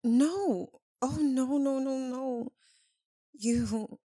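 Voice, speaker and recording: A woman playing a tender, tormented vampire lover in an intimate ASMR roleplay, speaking haltingly and earnestly with long dramatic pauses. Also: mid-range voice, warm tone, no room sound, clean studio sound. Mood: tender, devoted.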